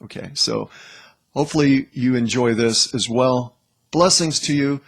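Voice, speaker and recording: A man's voice: mid-range voice, unhurried. A man hosting a video, talking casually and informally to the viewer. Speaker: video host.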